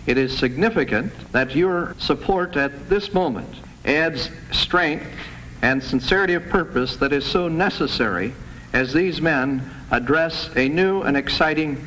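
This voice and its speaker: A man giving a formal prepared speech as a chief of police, earnest and measured in his delivery. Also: fairly high pitch, fairly bright tone. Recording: noisy 1960s film soundtrack.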